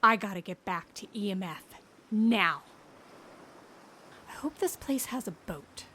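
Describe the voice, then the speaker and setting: Lively, urgent woman agent, played by an actress. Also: mid-range voice, measured pace, natural voice. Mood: alarmed, urgent. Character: determined woman field agent, wry and urgent. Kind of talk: comedic audio drama acting.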